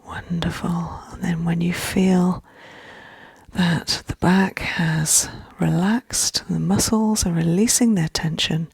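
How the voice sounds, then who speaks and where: A woman speaks very breathily and gently, guiding a relaxation in soft-spoken ASMR style. Her pace is very slow, with fry creeping into the hushed, often whispered delivery. She sounds soothing throughout. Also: fairly low pitch, steady tone, dry recording. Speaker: relaxation guide.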